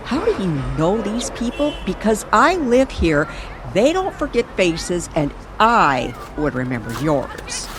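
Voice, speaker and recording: A woman playing a sceptical, sharp-tongued reporter with a sardonic, pointed way of talking in an audio drama. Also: mid-range voice, very animated, measured pace, background noise, pitched above the performer's own voice. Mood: sardonic, probing. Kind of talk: old-time radio style drama.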